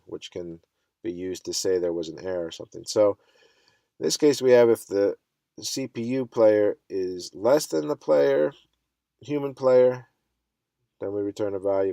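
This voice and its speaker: A man with a stuffy cold walks through a programming lesson in a relaxed, thinking-aloud way. His voice sits low with some gravel in it. The sound is close and dry, with keyboard clicks now and then. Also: no room sound. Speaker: coding instructor. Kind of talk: screen-recorded coding tutorial.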